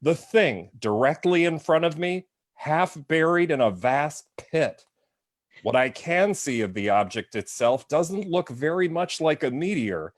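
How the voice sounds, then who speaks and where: A man acts out an earnest male radio reporter in a live drama reading. His voice sits a little high and moves in lively swings. The delivery is formal and florid, like an on-scene broadcast. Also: a little slow. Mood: dramatic, earnest. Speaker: cast member in an online script reading.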